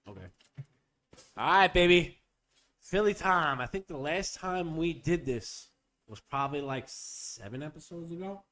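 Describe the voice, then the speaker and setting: A young man rambles through a loose comedy podcast chat, swearing freely and riffing on whatever comes to mind. His pitch swings all over the place, jumping high when he gets worked up and dropping low for mock-serious asides. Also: animated, a little slow, a bit bright. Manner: profane, riffing, playful bits with voices. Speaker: podcast host.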